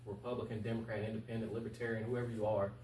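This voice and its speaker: Fast-talking man, echoey and off-mic. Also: lowish voice, flat delivery, dark tone. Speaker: guest, local political candidate. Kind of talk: informal interview answers. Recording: echoey, distant mic with faint noise.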